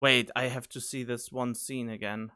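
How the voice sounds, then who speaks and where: A man's voice: medium pitch, little pitch movement, moderate pace, bright, forceful tone, clean tone. A man giving casual reaction commentary on game cutscenes, puzzled and thinking aloud as he goes. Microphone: studio condenser microphone. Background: music from game cutscenes.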